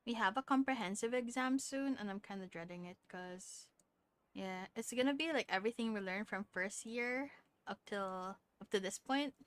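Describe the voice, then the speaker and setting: A woman's voice: medium pitch, normal speed, smooth, no room sound. A young adult woman chatting casually on a live game stream, informal and musing.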